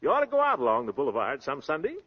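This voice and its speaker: An actor playing a boastful, breezy American husband, a glib, slangy schemer in a 1930s radio comedy-drama. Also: male voice, a little high, huge pitch swings, very fast. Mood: breezy, cocky. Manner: glib, pompous, peppered with slang and nicknames. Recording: dull lo-fi old radio recording.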